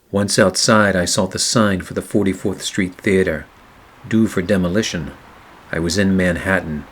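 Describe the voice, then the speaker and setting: An actor playing a hardboiled, wisecracking private detective, narrating a 1940s noir radio play in a deadpan, wry way. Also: male voice, sits low, slight background noise, close to an everyday voice. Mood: weary narration.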